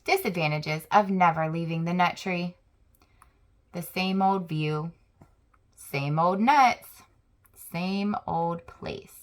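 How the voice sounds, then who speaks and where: A woman hosting a children's channel, reading a picture book aloud with slow, expressive storytelling. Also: lowish voice.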